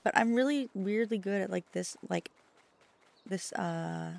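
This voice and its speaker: A woman's voice: mid-range voice, a little slow, gravelly. A woman VTuber streamer chatting casually with her chat, swearing often and poking fun at herself. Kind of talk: casual livestream chat, answering chat.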